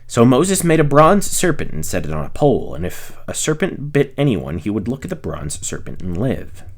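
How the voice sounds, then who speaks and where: A man reading scripture aloud phrase by phrase, in a measured narrating manner with the occasional stumble. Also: mid-range voice, very animated. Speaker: reader, narrator.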